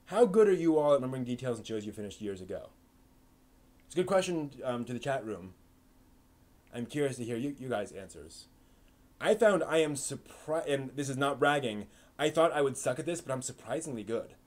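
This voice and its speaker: A man's voice: mid-range voice, lively intonation, fairly quick. A man hosting a live stream, chatting casually and answering questions from the chat, rambling with lots of fillers and restarts.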